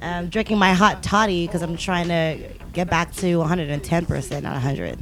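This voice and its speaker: A nasal-voiced woman from the Bay Area co-hosts a relaxed podcast. She sounds stuffed up from a cold and keeps a teasing, easygoing tone. Faint music runs underneath. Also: fairly low pitch, normal speed. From San Francisco Bay Area. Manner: casual, teasing, laughs often. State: has a cold. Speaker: podcast co-host. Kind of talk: casual chat over drinks.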